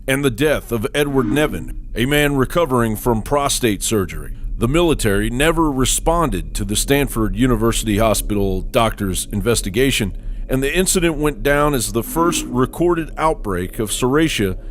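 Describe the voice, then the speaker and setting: A man's voice: mid-range voice, animated, normal speed, slight background noise. A man reading a scripted news report as narrator, with a grave, accusatory delivery.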